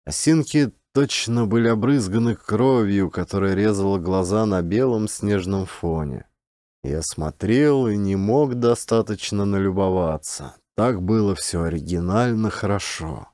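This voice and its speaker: Dark, low-voiced man narrating a literary tale. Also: measured pace, no echo, clean studio sound. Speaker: audiobook narrator, actor.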